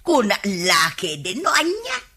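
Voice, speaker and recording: A woman's voice: mid-range voice, wildly swooping pitch, a little slow, pushed and bright, slightly rough, heavily acted. A woman playing a money-minded, nosy Filipino village wife in a comedy radio drama, exclaiming and complaining. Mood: nosy, scheming.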